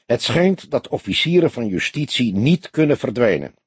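A man's voice: mid-range voice, sing-song, normal speed, breathy edge, dry recording, voice pushed up. A man voicing a young detective in an audiobook, an earnest, grumbling junior colleague. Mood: wry. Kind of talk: audiobook character voice.

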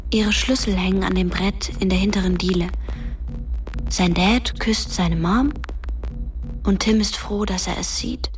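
A woman playing a haunting young female narrator in a radio drama, speaking in a hushed, breathy, intimate way. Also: medium pitch, steady tone, a little slow, long pauses, natural voice. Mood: quiet, intimate. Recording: music under the voice.

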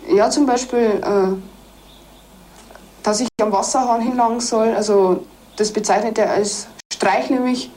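A teenage woman answering an interviewer, haltingly and repeating herself. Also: a little high, steady tone, a little mellow. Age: teens. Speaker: dental assistant. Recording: noisy film soundtrack.

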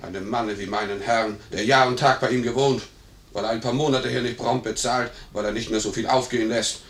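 An actor playing a blunt, hot-headed, loyal manservant in an old German radio play, in an echoey recording. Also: male voice, medium pitch, steady tone, a little fast, fairly bright tone, close to an everyday voice. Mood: indignant. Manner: blunt, indignant. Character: gruff, hot-headed, loyal male servant.

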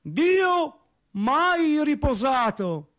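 Radio play bit part: male actor doubling as devout workmate, solemn and slow, raised pitch, clipped declarations. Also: very animated, fairly bright tone, full character voice. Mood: solemn, insistent.

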